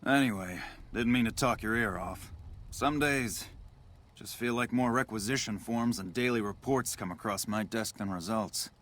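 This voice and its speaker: An actor voicing a frustrated, dutiful military officer in a video game, with a clipped, emphatic, bureaucratic delivery. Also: male voice, fairly low pitch, moderate pace, a bit bright, natural voice. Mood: resigned, weary. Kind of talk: video game character dialogue.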